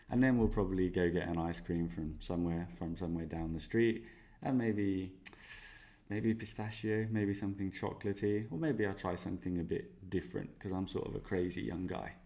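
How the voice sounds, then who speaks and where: Man doing a young male student, Spanish accent, upbeat and jokey, low voice. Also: a little mellow. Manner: upbeat, jokey, boastful asides. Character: young male language student, cheerful and self-mocking. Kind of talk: role-played exam interview answers.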